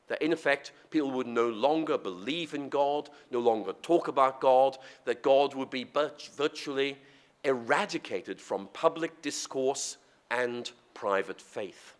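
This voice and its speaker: A middle-aged man giving a public lecture, his voice husky and sore from a cold, speaking in a conversational, wry way. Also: medium pitch. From Northern Ireland.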